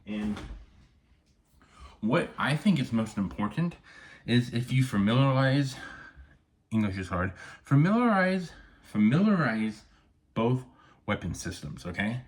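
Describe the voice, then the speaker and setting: A man's voice: medium pitch, big pitch swings, unhurried, pauses often. A man vlogging casually from a messy home office room with no external microphone, rambling and checking in with "okay?" after many points. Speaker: firearms YouTuber. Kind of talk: casual vlog talk to camera. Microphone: no external mic, camera audio.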